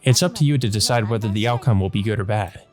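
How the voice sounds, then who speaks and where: A man's voice: lowish voice, fast talker, soft background music, lightly acted. A man voicing a kind, wise female teacher from a visual novel, earnest, instructive and encouraging. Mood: gentle, earnest.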